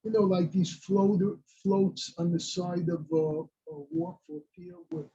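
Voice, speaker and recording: A man's voice: high voice, very animated, measured pace, gravelly, dark tone, breathy edge. A man with an accent casually explaining a medical story in a rambling way. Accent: non-native English. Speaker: study partner. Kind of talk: casual chat. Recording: dull lo-fi sound.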